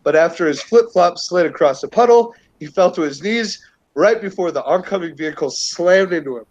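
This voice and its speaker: A man's voice: high-pitched, lively intonation, normal speed, a little mellow. A man with a stuffed-up cold reading a news article aloud as a stream co-host, in a loose casual way. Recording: dull lo-fi call audio.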